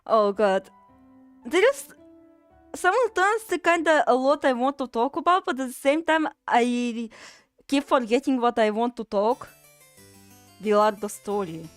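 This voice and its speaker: A woman's voice: fairly high pitch, lively intonation, a little slow, long pauses, clean tone, no room sound, soft background music. A woman from Russia with a Russian accent streams a game and chats casually, rambling, swearing freely and reading chat aloud. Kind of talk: casual gaming livestream chat.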